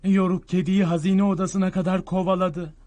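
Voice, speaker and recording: An excitable young cartoon brother who rushes to tell the story, his voice high and dry and close to the mic, as a man voices him. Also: dry recording, close to an everyday voice. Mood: urgent. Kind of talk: Turkish cartoon dub.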